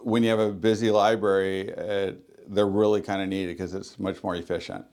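A man working as a librarian, talking loudly and chattily as a radio interview guest, with frequent ums and restarts. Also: low voice, little pitch movement, normal speed. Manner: loud, chatty, many fillers.

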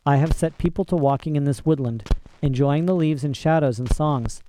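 Old storybook emperor, voiced by a male reader: grave, measured, regretful authority, warm dark timbre. Light background noise. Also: fairly high pitch, lightly acted, poor audio. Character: solemn old emperor, regretful yet coldly commanding man.

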